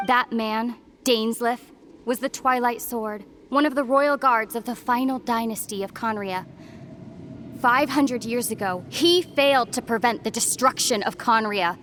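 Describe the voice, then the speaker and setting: An actress playing a calm young woman who speaks in a solemn, measured way. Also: on the high side, slight background noise. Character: calm young woman, the traveler's lost sister. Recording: faint game music behind.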